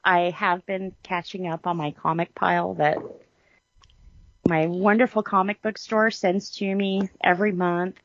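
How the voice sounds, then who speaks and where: Lower-voiced woman chatting, dull lo-fi sound. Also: little pitch movement, unhurried, no room sound. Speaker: podcast co-host. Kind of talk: casual chat.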